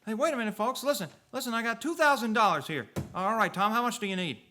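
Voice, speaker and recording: An earnest young small-town manager acted by a man with a high, bright voice. He stammers and doubles back on his words in flustered radio play style. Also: lively intonation, fast, a little hiss or hum. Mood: relieved, placating. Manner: stammering, repeating words, pleading.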